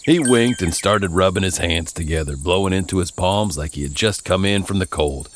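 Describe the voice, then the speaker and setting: An American man from Virginia narrating a first-person story in a folksy, wry, expressive way. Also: sits low, normal speed, slight background noise. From Virginia, United States.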